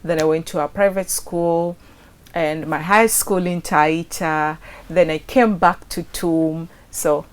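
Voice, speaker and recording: A young adult woman with a Kenyan coastal accent, talking in a chatty, animated way as an interview guest. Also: deep voice, slow. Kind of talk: casual interview.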